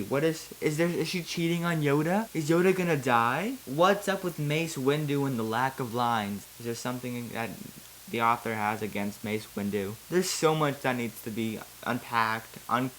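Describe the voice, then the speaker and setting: A woman's voice: extremely low pitch, normal speed, slight background noise, low-quality recording. Female video host, theatrical and giggly, moving between mock-dramatic story reading and casual remarks.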